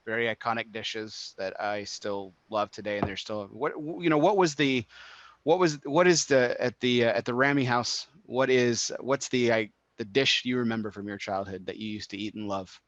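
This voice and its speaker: A man's voice: medium pitch, moderate pace, a bit bright, no room sound, lo-fi sound. A man from Texas hosting a casual, conversational interview. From El Paso, Texas, United States. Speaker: interviewer, show host.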